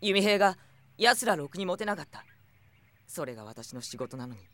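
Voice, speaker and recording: Humble, earnest young archer. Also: male voice, sits high, very animated, normal speed, a bit bright, no echo. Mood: anguished, determined. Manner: polite, earnest. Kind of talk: anime audio drama acting.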